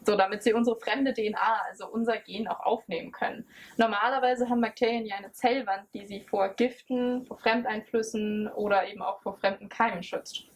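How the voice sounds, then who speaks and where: A woman's voice: medium pitch, steady tone, fairly quick, low-quality recording. A woman presenting as a scientist in an educational film, explaining things in semi-scripted dialogue. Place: laboratory. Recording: faint background noise with clicks.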